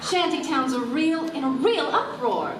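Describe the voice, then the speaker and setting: Coy 1940s secretary gal, high lively voice, radio play, echoey noisy room. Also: female voice, a little slow, distant mic. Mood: worried. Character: flirtatious, devoted 1940s newspaper gal Friday. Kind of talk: comic old-time radio play acting. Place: convention stage, recorded from the audience.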